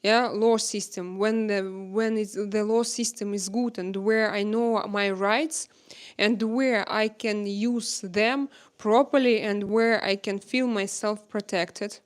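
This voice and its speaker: Slow, smooth-voiced woman, halting speech. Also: medium pitch, steady tone. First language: not English. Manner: hesitant, many filler pauses, searching for words. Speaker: livestream host chatting with viewers. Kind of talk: unscripted live chat. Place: home in Spain.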